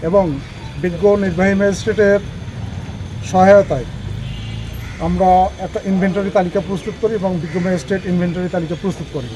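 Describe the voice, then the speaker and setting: A man's voice: sits high, warm tone, muffled recording, a little hiss or hum. A man, an anti-corruption official, giving a formal, bureaucratic statement to the press outdoors at a hospital.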